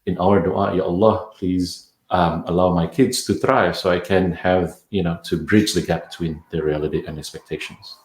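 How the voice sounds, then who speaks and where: A man's voice: low-pitched, fairly even intonation, moderate pace, hardly any pauses, a little mellow, reverberant. A man from Indonesia, a parenting coach, talking thoughtfully in a podcast interview with many restarts.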